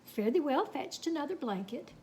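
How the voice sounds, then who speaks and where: Children's story reader: woman, high-pitched, very lively and folksy. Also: moderate pace, a little hiss or hum. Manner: animated, folksy, dramatic. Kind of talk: expressive storybook read-aloud with folksy asides.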